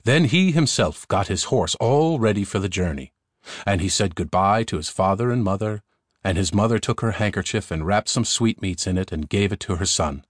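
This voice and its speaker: Animated low-voiced male storyteller. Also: moderate pace, dry recording, clean studio sound. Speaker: audiobook narrator. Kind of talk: scripted fairy tale narration. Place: recording studio.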